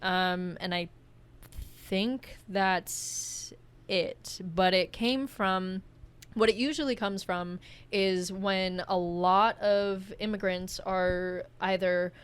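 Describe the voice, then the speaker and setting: A woman's voice: medium pitch, takes it slowly, smooth. A woman from Hawaii, a podcast guest, talking casually with lots of fillers and hedges. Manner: chatty, lots of "like" and "um" fillers, hedging. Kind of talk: casual conversation.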